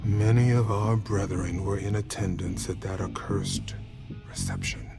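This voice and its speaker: Male vampire faction boss: guarded, formal, unhurried, very animated intonation, game drama. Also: low-pitched, vocal fry, heavily acted. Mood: sceptical. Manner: formal, deliberate, theatrical phrasing. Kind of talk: dramatic video game cutscene acting. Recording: music behind the voice.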